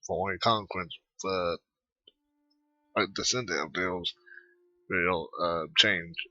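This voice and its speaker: Deep husky man, dull dry recording. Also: fairly even intonation, sharp tone, dry recording. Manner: halting, rambling. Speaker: gameplay commentator. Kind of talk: casual game commentary.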